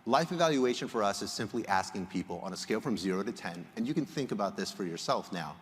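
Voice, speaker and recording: A man from Egypt giving a prepared public presentation as a researcher, explaining in a measured way with emphatic phrasing. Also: fairly low pitch, lively intonation. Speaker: conference speaker, polling researcher. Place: large indoor hall, on stage. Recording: reverberant hall sound.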